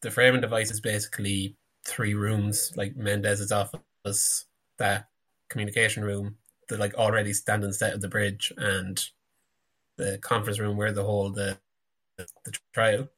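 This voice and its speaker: A man's voice: fairly low pitch, little pitch movement, moderate pace, fairly bright tone. A man on a podcast panel, casually discussing a TV episode.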